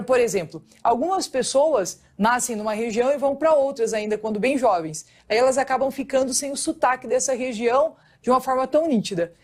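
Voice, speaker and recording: A woman teacher speaks in the accent of São Paulo state, her R strongly rolled. Her voice sits slightly higher than most. She explains things patiently in a recorded lesson, pausing often to check that her students follow. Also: a little mellow. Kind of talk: recorded online class lesson.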